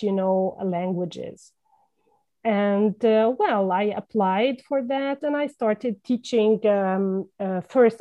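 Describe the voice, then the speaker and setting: Slow, halting woman with a Levantine Arabic accent. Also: medium pitch, mellow, muffled recording. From Palestine. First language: Palestinian Arabic. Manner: halting, many fillers and restarts. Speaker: language teacher and former journalist, guest. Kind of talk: conversational podcast interview.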